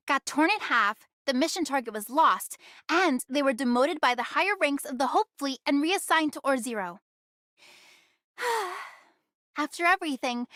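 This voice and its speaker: A cheeky young female guide from a sci-fi anime game. Her voice sits high and swings widely in pitch. She talks in a chatty, teasing way, like scripted game dialogue full of expression. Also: pushed and bright, no echo, clean studio sound, exaggerated voice. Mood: gossipy, mocking. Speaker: game character voice.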